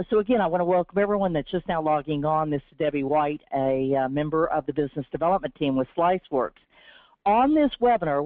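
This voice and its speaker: Hoarse woman hosting a webinar over the phone. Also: deep voice, no room sound. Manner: reads from a script in an earnest, encouraging tone. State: sore throat, slightly raspy. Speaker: webinar host from a business development team. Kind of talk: scripted webinar presentation. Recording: tinny, dry phone-line audio.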